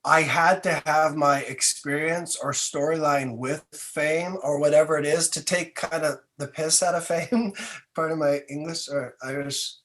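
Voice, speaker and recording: Male podcast guest, slightly high voice, reflective and sincere, conversational delivery. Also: fairly even intonation, a little slow, a bit bright. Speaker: interview guest, filmmaker.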